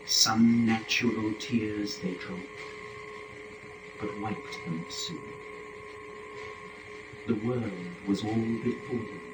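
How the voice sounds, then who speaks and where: A man narrating epic verse as a dramatic reading, with a solemn, measured, declamatory delivery. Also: mid-range voice. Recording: echoey room, faint noise.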